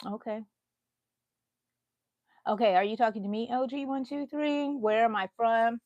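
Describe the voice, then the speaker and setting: A woman's voice: fairly high pitch, a little slow, a little mellow, no room sound, dull audio. A middle-aged woman from Houston, chatting loosely with her livestream viewers while she crochets and answers their comments. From Houston, Texas. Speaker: crochet channel host.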